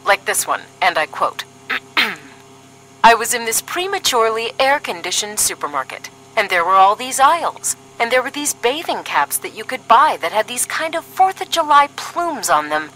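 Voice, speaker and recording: A woman's voice: a little high, sing-song, moderate pace, pushed and bright, faint music behind, low-quality recording. A woman acting as a sardonic, duty-minded young paramilitary agent in a scripted audio drama, dry and serious.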